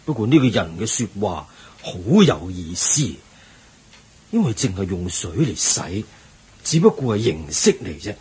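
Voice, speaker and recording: An actor playing a devout, learned Buddhist monk in a radio drama, measured and earnest. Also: male voice, on the low side, sing-song, darkish tone, breathy edge, heavily acted. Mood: earnest, teaching. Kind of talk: Cantonese radio drama acting.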